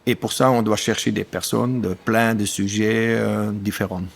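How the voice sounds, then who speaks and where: A man in his sixties, a dairy farmer from German-speaking Belgium with a German accent, speaking French as a second language, haltingly searching for words in a conversational podcast interview. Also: lowish voice, fairly even intonation, vocal fry, husky. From German-speaking eastern Belgium.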